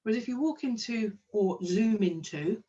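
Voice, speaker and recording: A woman's voice: a little high, expressive, normal speed, dark tone, distant mic, highs cut off. A woman, a kinesiologist and business owner, talking enthusiastically in a casual interview with plenty of ums. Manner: enthusiastic, chatty, with frequent ums.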